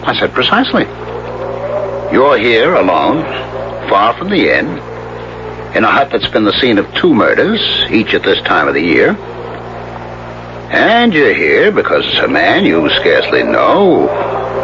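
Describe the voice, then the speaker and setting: A man's voice: fairly low pitch, huge pitch swings, measured pace, pauses often, heavily acted. Sly menacing guy in a 70s radio thriller, swings all over, muffled noisy old tape. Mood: ominous teasing. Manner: insinuating, mock-casual, with pointed questions. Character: menacing, teasing male skier toying with his companion. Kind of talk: 1970s radio drama acting.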